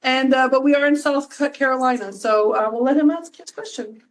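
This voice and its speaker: A woman with a high-pitched voice speaks as a schoolteacher. She makes introductions in a casual, conversational style. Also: fairly quick, poor audio. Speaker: French immersion teacher.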